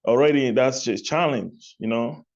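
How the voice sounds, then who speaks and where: A man from Cameroon, answering interview questions in a relaxed way, repeating phrases and laughing lightly between points. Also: medium pitch, a little slow, muffled recording. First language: not English. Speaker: guest, music artist and label executive.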